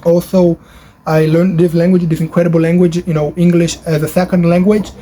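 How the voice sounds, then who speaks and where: Chatty Portuguese-native man, highway hum behind. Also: flat delivery, a little fast, dark tone. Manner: chatty, rambling, many fillers and restarts. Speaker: language-learning YouTuber. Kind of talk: casual talk to camera. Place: his bedroom at home, near a highway. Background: traffic noise from a nearby highway.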